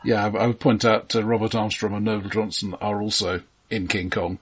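A man co-hosting a film podcast, discussing in a casual but measured, analytical way. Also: lowish voice, little pitch movement, husky.

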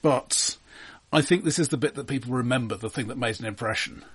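A man chatting casually about films as a podcast co-host, in a halting, wry, conversational way. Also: mid-range voice, husky. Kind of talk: casual film discussion.

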